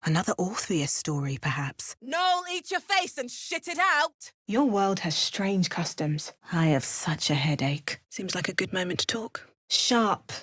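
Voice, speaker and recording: Wry fantasy game heroine, close and dry. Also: female voice, mid-range voice, very animated, measured pace, few pauses, a bit bright, husky, no room sound, exaggerated voice. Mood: fierce incanting, then hushed. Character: adventurous, wry woman hero of a fantasy game. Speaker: video game voice actor. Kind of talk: scripted fantasy game dialogue.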